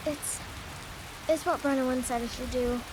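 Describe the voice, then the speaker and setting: An actress plays a small orphaned beggar girl in a fantasy game, her voice high and plaintive. She speaks haltingly and timidly. Rain and hiss are clear behind her. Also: steady tone, close to an everyday voice. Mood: sorrowful. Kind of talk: fantasy video game acting. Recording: faint distant voice in hissy rain.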